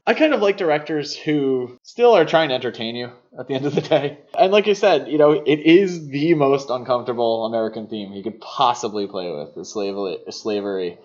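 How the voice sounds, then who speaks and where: A man with a medium-pitched voice co-hosts a film podcast. He talks in a casual, conversational way and readily gives his opinions. The recording is a little dull and lo-fi. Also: expressive, brisk, few pauses. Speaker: podcast host.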